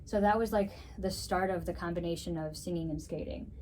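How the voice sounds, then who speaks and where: Young woman guest, Greek first language, upbeat and chatty, slightly low voice. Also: a little fast, a little mellow, a little hiss or hum, low-quality recording. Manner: upbeat, chatty, frequent "so, yeah" wrap-ups. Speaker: guest, figure skater and musician. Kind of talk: casual interview answers.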